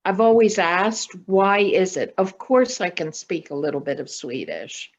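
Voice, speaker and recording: A woman chatting and telling personal stories in a slow, deliberate way over a video call. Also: fairly low pitch, animated. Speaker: podcast guest. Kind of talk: casual conversational storytelling. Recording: dull, lo-fi video call audio.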